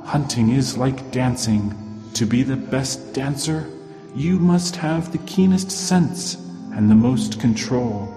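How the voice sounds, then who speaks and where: A man's voice: medium pitch, slow pace. A man voicing a stern old shaman in a traditional tale, speaking solemnly and commandingly to his village. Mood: solemn, instructive. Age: older. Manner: solemn, commanding, rhetorical questions. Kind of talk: oral storytelling of a traditional tale. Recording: long hall reverb, clear music underneath.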